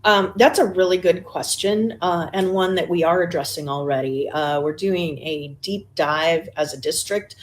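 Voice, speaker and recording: This woman speaks in a fairly low voice and answers a moderator's questions at a candidate forum. She talks in an easy, relaxed way and fills her sentences with "um" and "uh". A faint hum of noise sits behind her. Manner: relaxed, frequent fillers. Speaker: school board candidate. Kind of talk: forum answers, casual speech.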